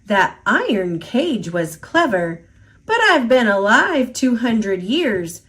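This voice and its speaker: A cocky little two-hundred-year-old leprechaun man from a picture book, acted out by a woman. The voice is fairly high and swoops playfully, taking its time over each teasing, sing-song rhyme. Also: sing-song, slow pace, fairly bright tone, heavily acted. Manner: playful, taunting, sing-song rhyming delivery.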